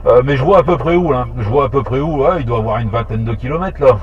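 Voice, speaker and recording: A man chatting casually over amateur radio in a halting way, repeating words as he thinks. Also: medium pitch, lively intonation. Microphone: two-way radio transmission. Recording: muffled two-way radio, faint noise.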